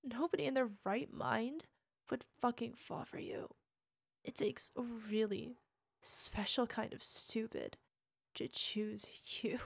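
A young woman acting a volatile, bitter partner in an audio roleplay monologue. She swings between hushed whispers and swearing outbursts. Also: on the high side, slow pace, vocal fry, no room sound, exaggerated voice.

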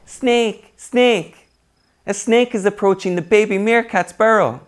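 A man voicing a young female meerkat who cries out in high, shrill barking calls, frantic and loud. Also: measured pace. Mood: mischievous shouting. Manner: frantic crying out, later sobbing. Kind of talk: storybook reading.

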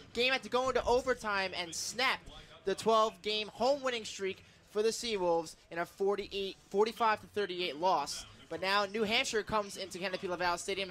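An American man announces football play-by-play for radio in a very high-pitched voice. His calls are excitable and emphatic. Loud music runs underneath him. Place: football stadium broadcast booth.

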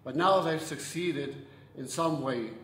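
An older man giving a slow, earnest address on women's leadership. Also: on the high side, a bit bright. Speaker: organization official giving an address. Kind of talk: prepared speech. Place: indoor room. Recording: echoey room, faint background noise.

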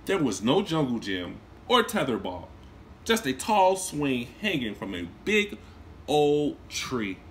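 Man, thirties, kindergarten teacher, storybook read-aloud, very lively melodic delivery, mid pitch, close phone mic, small room. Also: slow, slight background noise. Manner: expressive storytelling with lively swings in pitch and volume; warm, encouraging talk to young children. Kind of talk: reading a picture book aloud to children. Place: small indoor room, seated on a couch.

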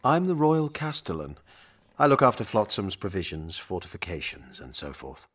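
Officious royal castellan for fantasy game: haughty, pompous, highly animated intonation. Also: male voice, normal speed, exaggerated voice. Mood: officious, dismissive. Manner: pompous, bureaucratic. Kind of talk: video game character acting.

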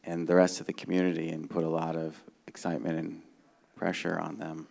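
A man's voice: extremely low pitch, completely flat delivery, fairly quick, creaky, a little mellow. A middle-aged man explaining technical matters informally on a conference panel, often checking in with "right?", speaking into a handheld microphone. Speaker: conference panelist, software developer. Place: conference room stage before a seated audience.

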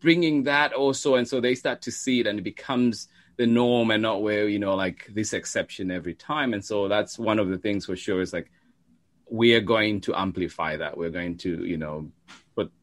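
A man's voice: fairly low pitch, moderate pace. A man talking casually as an interview guest who hosts a children's storytime. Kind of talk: casual conversational interview talk.

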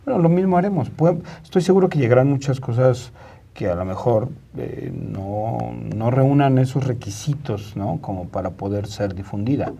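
Panel guest: Mexican male, 50s, husky, mellow, explanatory. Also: medium pitch, normal speed, highs cut off. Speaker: journalist, studio guest. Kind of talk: explanatory panel interview talk. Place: TV studio, indoors, round table. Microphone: lapel mic.

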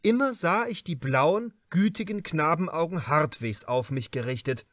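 A man reading a crime novel as an audiobook narrator, in a measured, expressive and carefully articulated way. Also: fairly high pitch, dry recording, studio quality.

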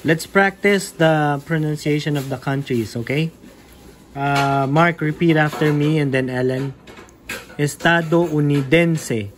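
A man's voice: on the high side, steady tone, slow pace, noisy background. A man teaching a Spanish class over a video call, patiently prompting and correcting his students. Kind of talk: online class drilling.

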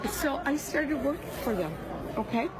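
A woman in her fifties arguing defensively in a store, halting and rambling as she explains herself. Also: high-pitched, sing-song, a loud crowd, very noisy. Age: 50s. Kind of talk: heated argument. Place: big store aisle, indoors.

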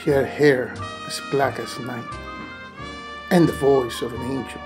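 A brooding, world-weary gumshoe from a noir parody, acted out by a man. He narrates with weighty dramatic pauses over music. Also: mid-range voice, steady tone, pitched below the performer's own voice, close to an everyday voice. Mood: wary, deadpan. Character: world-weary hard-boiled 1940s male private detective narrator. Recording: music behind.

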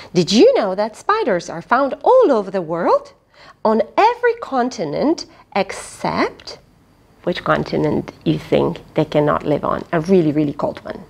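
A middle-aged woman reading aloud to young children, expressive and animated. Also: medium pitch, creaky. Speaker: children's storyteller. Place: small fabric play tent indoors.

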